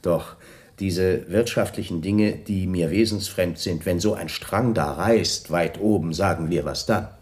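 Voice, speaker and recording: An uneasy radio-drama commandant who keeps up his guard. The low voice is husky, and it moves in hesitant, broken phrases. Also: unhurried, darkish tone. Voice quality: husky, breathy. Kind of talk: German radio play acting.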